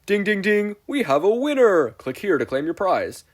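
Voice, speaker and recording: Tiny manic puppet salesman, high-pitched, wildly swooping, loud, pushy pitchman delivery, storybook character. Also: very animated, normal speed. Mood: triumphant. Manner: hawking like a pitchman.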